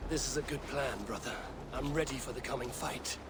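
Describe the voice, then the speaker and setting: An actor playing a bold, wary, poetic Viking warrior in a video game cutscene, speaking directly. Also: male voice, mid-range voice, steady tone, brisk, heavy background noise, close to an everyday voice. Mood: bold resolve. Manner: direct, declaiming.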